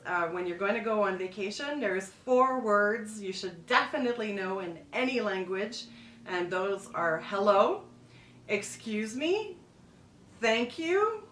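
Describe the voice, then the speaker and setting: A woman's voice: medium pitch, slow pace, fairly bright tone, far from the mic, a little hiss or hum. A middle-aged woman from Montreal, talking casually to camera as a food and travel blogger, loose and chatty with restarts. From Montreal, Quebec, Canada. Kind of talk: casual vlog monologue. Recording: echoey room, mic set back.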